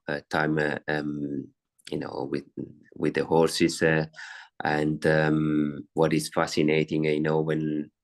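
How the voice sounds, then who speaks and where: A middle-aged man from Italy with an Italian accent, a racehorse trainer answering interview questions with many fillers and restarts. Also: extremely low pitch.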